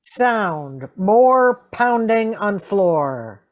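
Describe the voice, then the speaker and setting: Woman, radio script cue reader, clipped announcing, long pauses, widely varying pitch. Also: very animated. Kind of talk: reading out sound-effect directions in a radio play.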